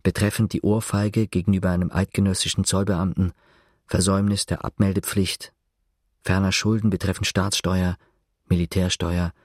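A man's voice: low voice, flat delivery, no room sound, clean studio sound. An actor in a German radio play, playing a brooding, bitter prisoner who denies who he is. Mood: sober, bitter. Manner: measured, reflective narration breaking into furious shouting. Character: prisoner denying his identity, brooding, bitter, given to outbursts. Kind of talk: German radio play, first-person narration and acted dialogue.

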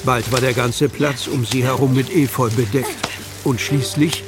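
A man's voice: mid-range voice, fairly even intonation, a little slow. A man narrating a children's audio drama as a scripted storyteller. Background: music.

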